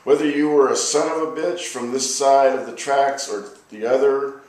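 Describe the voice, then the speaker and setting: American male poet, mid-pitched voice, deliberate delivery, live reading, heavy room echo. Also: a little mellow. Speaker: poet reading own work. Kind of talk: live poetry reading with informal spoken introduction. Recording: echoey live room, distant microphone.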